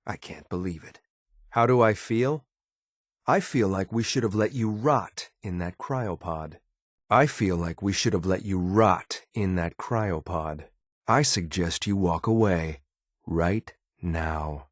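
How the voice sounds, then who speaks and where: An actor playing a stern, formal, dutiful synth soldier in a video game, speaking in a clipped, controlled military manner. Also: male voice, sits low, slow pace, no echo, clean studio sound. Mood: cold, contemptuous. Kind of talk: video game companion voice lines.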